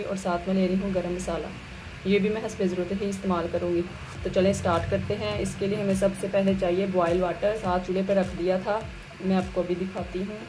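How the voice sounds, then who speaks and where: A woman's voice: mid-range voice, rough recording. A woman gives a plain, instructional step-by-step recipe voice-over as a home cooking host. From Pakistan. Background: music behind the voice.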